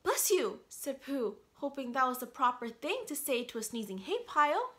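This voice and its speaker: Animated woman reading to little kids, high voice. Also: normal speed. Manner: animated, questioning, addresses the child directly. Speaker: doctor reading a children's book aloud. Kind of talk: interactive picture-book reading for young children. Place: small quiet room at home.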